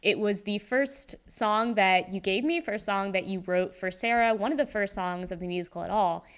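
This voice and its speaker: Woman, casual and talkative, smooth resonant tone, holding a handheld mic. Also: mid-range voice, moderate pace. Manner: chatty and rambling, many ums, laughs often. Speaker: singer and voice actor in a fan musical. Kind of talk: casual livestream Q&A chat. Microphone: handheld microphone.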